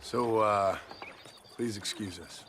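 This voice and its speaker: An actor playing a tough, sardonic Old West gunslinger with an American accent in a video game, dry and threatening. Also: male voice, fairly low pitch, steady tone, takes it slowly, a bit bright, a little hiss or hum. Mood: dry, apologetic. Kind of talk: video game cutscene acting.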